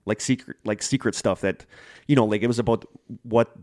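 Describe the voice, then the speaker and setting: Man, low voice, casual storyteller, enthusiastic, rambling, podcast co-host, dry sound. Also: steady tone, a little fast, a little mellow, no room sound.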